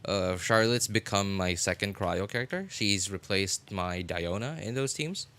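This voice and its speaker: A man's voice: on the low side, measured pace, fairly bright tone. A man casually talking to viewers while live streaming a game, thinking aloud and often repeating himself.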